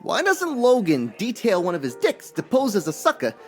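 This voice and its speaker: A man's voice: high voice, huge pitch swings, moderate pace, soft background music. An actor playing an earnest barman sidekick in a radio crime comedy, folksy and deferential, full of old slang. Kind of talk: old-time radio character acting.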